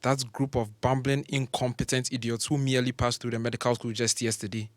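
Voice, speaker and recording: An actor playing an arrogant older surgeon professor in an audio drama, grandiose and condescending. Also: male voice, mid-range voice, level tone, brisk, dry recording, natural voice. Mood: boastful, scornful.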